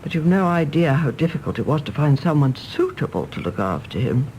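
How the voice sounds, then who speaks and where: Elderly English mother, imperious, fussing, chiding, very animated delivery, radio stage play, faint noise. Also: low voice, poor audio. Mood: fretful. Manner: imperious, chiding, brisk with endearments.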